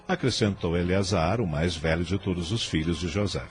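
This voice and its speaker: Deep, solemn male radio drama narrator. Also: moderate pace, slight background noise. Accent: Brazilian. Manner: solemn, literary, dramatic phrasing. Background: music.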